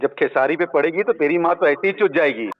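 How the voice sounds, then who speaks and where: A man's voice: fairly high pitch, brisk. A man in a confrontational argument on a recorded phone call.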